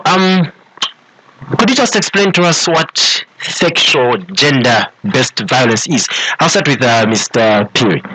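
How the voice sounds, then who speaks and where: A man's voice: medium pitch, a bit bright, slight background noise, poor audio. A man with a Zambian accent moderating a panel discussion in a conversational, somewhat hesitant way.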